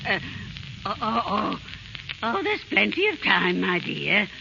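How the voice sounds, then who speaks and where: A sly, wicked old crone in a 1930s radio play. Her voice is heavily creaky and slow, wheedling and theatrical, with a witchy cackle. The old recording is muffled and noisy. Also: medium pitch, very animated, heavily acted. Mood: coaxing, sly.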